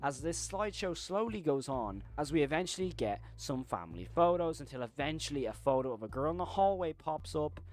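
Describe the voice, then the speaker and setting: A man's voice: fairly high pitch, very animated, brisk, hardly any pauses. Man narrating horror video commentary. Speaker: YouTube horror commentator and host. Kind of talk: video essay commentary, recapping episodes.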